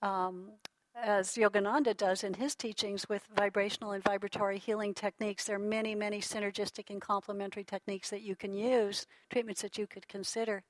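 A middle-aged woman lecturing a class on healing in an unhurried, reflective way, with a voice that sits at an ordinary middle pitch. She often circles back to restart her sentences and breaks into soft laughs. The recording is close and dry, with no room sound. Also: level tone, no room sound, low-quality recording. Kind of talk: relaxed teaching talk to a class.

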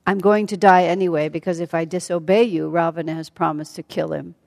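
A woman's voice: fairly low pitch, fairly even intonation, fairly quick, vocal fry, a little mellow, studio quality. A woman storyteller voicing a doomed demon subject, resigned to a tyrant's orders. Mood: grim resignation. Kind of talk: storytelling acted dialogue. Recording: hall with long reverb.

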